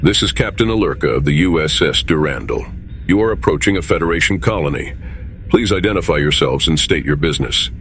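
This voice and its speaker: A man acting in an audio drama as a calm, principled starship captain, measured and authoritative, with rumble behind him. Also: full character voice. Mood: composed, firm. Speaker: lead actor playing a starship captain.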